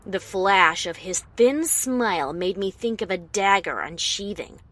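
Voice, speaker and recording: Woman as a bright, animated pony author. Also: medium pitch, a little slow. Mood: tense, wry. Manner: dramatic, expressive. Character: tense, indignant female pony adventure author narrating. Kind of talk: first-person story narration, fan fiction reading.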